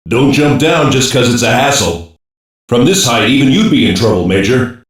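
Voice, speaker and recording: A man playing a gruff, cocky special-operations soldier in an English-dubbed anime game, casual and sardonic. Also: mid-range voice, very animated, normal speed, far from the mic, close to an everyday voice. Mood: gruff, wry. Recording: roomy heavy echo, off mic.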